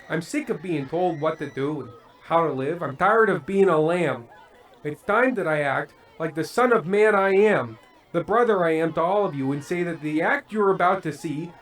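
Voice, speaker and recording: A man playing an earnest, disgruntled late-night variety host in a scripted radio play, deliberate and emphatic. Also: on the high side, a bit bright, rough recording.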